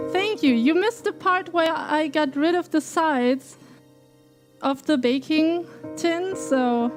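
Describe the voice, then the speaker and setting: A woman's voice: sits high, a little mellow. A German woman streaming live from her home kitchen while baking, chatting casually with viewers and laughing often. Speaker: cooking streamer.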